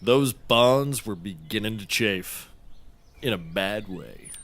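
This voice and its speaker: Dry, wry detective. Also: male voice, on the low side, animated, slow pace, a bit bright, heavily acted. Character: wry, sleazy male private investigator. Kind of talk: scripted comedy acting.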